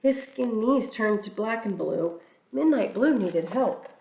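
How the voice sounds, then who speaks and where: A woman reading a children's picture book aloud in an expressive, storytelling way. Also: fairly high pitch, measured pace, creaky. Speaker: church reader. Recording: echoey room, mic far off.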